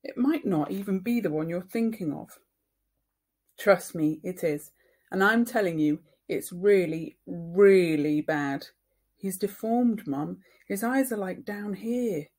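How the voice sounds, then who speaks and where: Woman reading as an exasperated, argumentative young boy, slightly husky. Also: medium pitch, slow, darkish tone. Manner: emphatic, defensive, informal.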